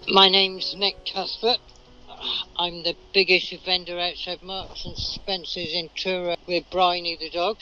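A man's voice: high voice, level tone, measured pace, sharp tone, clean tone, faint music behind. A man who sells a street magazine, telling a rambling everyday story in a recorded message. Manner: plain, rambling anecdote with restarts. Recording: slightly dull lo-fi recording.

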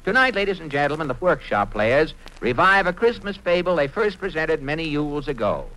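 A man's voice: a little high, big pitch swings, brisk, bright, forceful tone, rough recording. A man announcing and narrating in a scripted old-time radio style.

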